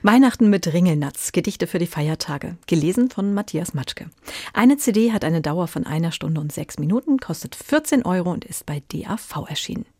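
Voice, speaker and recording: A woman presenting a radio book-review show in a clear, composed way, introducing titles and asking a critic questions. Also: fairly low pitch, animated, normal speed, darkish tone, no echo, clean studio sound.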